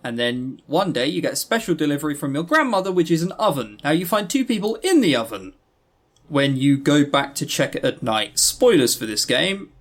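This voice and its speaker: A man's voice: a little high, very animated, moderate pace. Man chatting casually on a podcast. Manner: rambling, opinionated, swears casually. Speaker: podcast co-host. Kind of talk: casual chat, game review.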